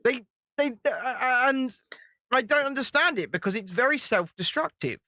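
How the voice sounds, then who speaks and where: A man co-hosting a casual commentary show, presenting in a brisk, upbeat way. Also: extremely high pitch, expressive, pushed and bright, no echo. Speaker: co-host. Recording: dull, dry lo-fi recording.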